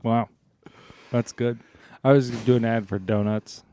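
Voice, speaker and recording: A man with a mellow, mid-pitched voice trades casual comic banter as a co-host. His delivery is deadpan and terse. The recording is close and dry. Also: normal speed, clean tone, no room sound. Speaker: podcast co-host.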